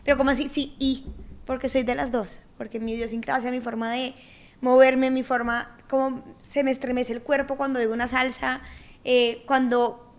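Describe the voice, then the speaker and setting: A woman's voice: high-pitched, little pitch movement, moderate pace. A young Colombian woman with a Colombian accent, speaking as an interview guest in an emotive, heartfelt, conversational way. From Colombia, Bogotá and Cali. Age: young adult. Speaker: podcast guest.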